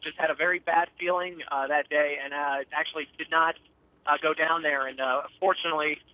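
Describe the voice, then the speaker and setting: A man's voice: fairly high pitch, steady tone, a little fast. A man giving a live eyewitness report as a storm chaser over the phone, in a hesitant, matter-of-fact way. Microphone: phone call. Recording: muffled narrow telephone line.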